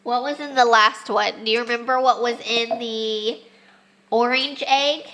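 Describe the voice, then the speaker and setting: A woman's voice: fairly high pitch, a little slow, bright, forceful tone, a little hiss or hum, poor audio. A young woman, congested and losing her voice, chatting casually with many ums as she vlogs a homeschool lesson. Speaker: homeschooling mom. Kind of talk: casual home vlog lesson. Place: family home with children.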